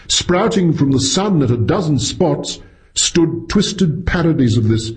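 A man narrating a science fiction story as a scripted audiobook, with an expressive storytelling delivery. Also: mid-range voice.

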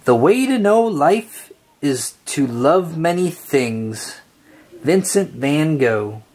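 Expressive, slow storybook reader, husky voice. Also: medium pitch. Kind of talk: read-aloud of a children's picture book.

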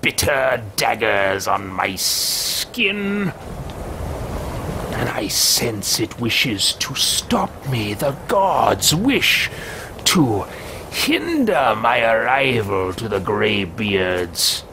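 Male streamer voicing a pompous, self-absorbed mage; drawn-out, lilting delivery, home desk setup. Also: on the low side, very animated, background noise, pitched below the performer's own voice, full character voice. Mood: haughty. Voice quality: pompous, grandiose. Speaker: let's play host roleplaying a character. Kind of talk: in-character roleplay over a video game. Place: room at home, indoors.

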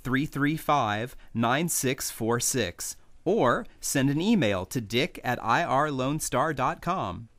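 A man announcing a scripted radio ad. His medium-pitched voice is animated, and the sound is dry and close. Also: slow, no room sound. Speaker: advertisement announcer.

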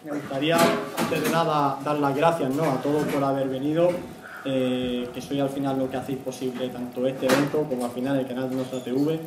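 A man's voice: medium pitch, flat delivery, fairly quick. A man in his thirties from Spain giving a conversational public talk into a handheld mic, often tagging phrases with a questioning "no?". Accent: Spanish from Spain. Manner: conversational, frequent "¿no?" tags. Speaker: guest speaker, YouTube analyst. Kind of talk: semi-prepared public talk. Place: small indoor meeting room. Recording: echoey room, distant mic, faint noise.

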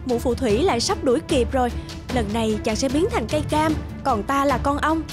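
A woman voicing a brave, gentle young princess in a fairy-tale cartoon. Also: high-pitched, fairly quick, loud music behind the voice, close to an everyday voice. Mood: urgent.

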